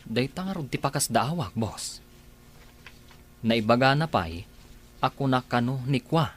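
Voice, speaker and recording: A man's voice: medium pitch, animated, a little slow, breathy edge. A man playing a loyal, deferential farmhand who speaks earnestly to his boss, in a radio drama. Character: loyal farmhand, uncle figure to the boy.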